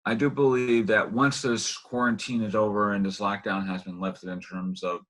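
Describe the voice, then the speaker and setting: Bright, low-voiced man hosting an interview. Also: little pitch movement, moderate pace. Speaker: interview host.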